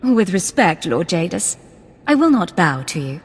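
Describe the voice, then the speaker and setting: An actress playing a cool-headed, defiant Imperial agent in a video game, with clipped, dry delivery. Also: female voice, lowish voice, very animated, a little slow, husky, soft background music, heavily acted.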